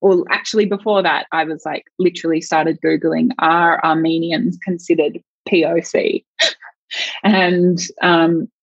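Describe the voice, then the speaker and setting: A woman with a very low speaking voice talks through her thoughts as an interview guest, circling and searching as she goes. Her sentences wind loosely while she works ideas out. The recording is dry, with a dull, muffled lo-fi tone. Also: steady tone, unhurried, no echo. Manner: searching, thinks aloud in loose winding sentences. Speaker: sexologist guest. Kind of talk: reflective interview conversation.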